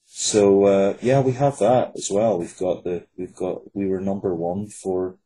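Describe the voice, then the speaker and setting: A man's voice: deep voice, fairly even intonation, a little slow, dark tone, poor audio. A man speaking as an interview guest and writer, casually and earnestly, in a reflective way. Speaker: guest, writer of fiction, comics and games.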